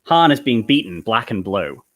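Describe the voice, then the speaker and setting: A man's voice: fairly low pitch, sing-song, moderate pace, a little hiss or hum. A man reading screenplay stage directions as narrator of a comedy table read, with an amused manner.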